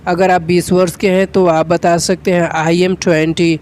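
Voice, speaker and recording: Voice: mid-range voice, level tone, a little slow, darkish tone, slight background noise. A teacher with an Indian accent, giving an instructional lesson step by step in a methodical, repetitive way. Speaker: English teacher.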